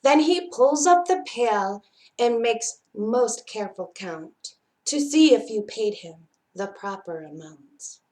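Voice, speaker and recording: A woman reads a children's storybook aloud as a storyteller. Her voice sits a little high and her pace is very unhurried. She is expressive, shaping each phrase for young listeners. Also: low-quality recording. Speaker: library storyteller reading aloud. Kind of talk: children's picture book read-aloud.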